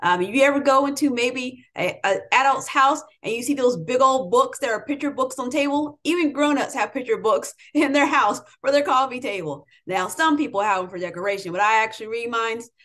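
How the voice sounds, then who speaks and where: American lady teacher giving students a pep talk, voice a bit high, stresses her key words. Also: fairly quick, hardly any pauses, a bit bright. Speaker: educator, interviewer. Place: home room with bookshelves, indoors.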